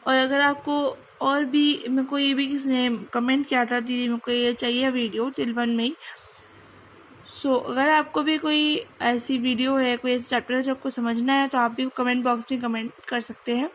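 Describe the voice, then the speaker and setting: A young Indian woman teaching a French lesson, mixing Hindi and English and slowly spelling letters out to drill pronunciation. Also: high-pitched, level tone, a little hiss or hum, rough recording.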